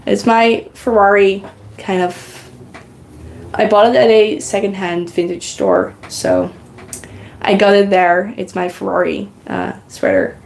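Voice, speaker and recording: A woman's voice: mid-range voice, expressive, measured pace, creaky. A woman whose first language is Dutch, rambling casually on her knitting live stream and thanking viewers in the chat, recorded on a good microphone. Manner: rambling, chatty, reads and thanks chat comments. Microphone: good podcasting microphone.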